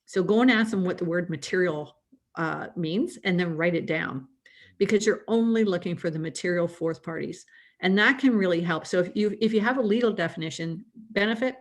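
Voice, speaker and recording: Canadian woman, slightly low, relaxed chatty expert on a call. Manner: chatty, laughs easily, restarts often. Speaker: risk management guest. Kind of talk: conversational expert explanation.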